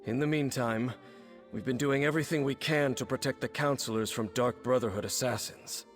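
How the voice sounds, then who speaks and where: A man's voice: medium pitch, natural voice. Formal male commander over music, an actor's role. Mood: grave, concerned. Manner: measured, formal, serious. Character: sober, dutiful male commander briefing his operatives. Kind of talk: video game quest briefing. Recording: game dialogue over background music.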